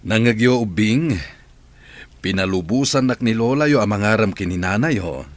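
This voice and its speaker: Guy acting a sincere pleading suitor, really deep voice, ilocano accent, radio drama style. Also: expressive, moderate pace, no room sound, lightly acted. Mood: earnest. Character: earnest adult suitor promising a happy family.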